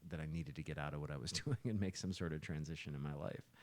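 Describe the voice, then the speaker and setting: A man in his fifties telling stories casually on a podcast, halting and emphatic as he goes. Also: very deep voice, a little mellow. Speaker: musician and podcast co-host. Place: small home living room.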